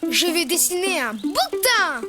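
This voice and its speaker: Brief: very high-pitched little boy for a preschool cartoon, eager, encouraging, slow and clear step-by-step delivery, loud music behind. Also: sing-song, full character voice. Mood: helpful. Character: eager, helpful little boy who loves drawing. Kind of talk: preschool educational cartoon dialogue.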